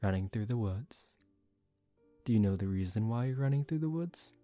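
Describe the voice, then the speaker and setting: A man playing a composed young aristocratic vampire in a soft-spoken ASMR roleplay, calm, formal and gently commanding, protective yet secretive. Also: lowish voice, normal speed, dry recording, faint music behind, natural voice. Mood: gentle, reassuring.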